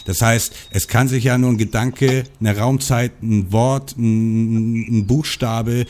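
A man's voice: mid-range voice, steady tone, takes it slowly, darkish tone. A man in a casual spiritual conversation, lecturing with slang.

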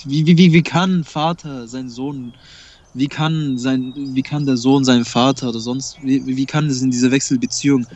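A man's voice: medium pitch, steady tone, moderate pace, darkish tone, dull audio. A man whose first language is Kurdish, talking emphatically and repeating himself in a casual spiritual conversation.

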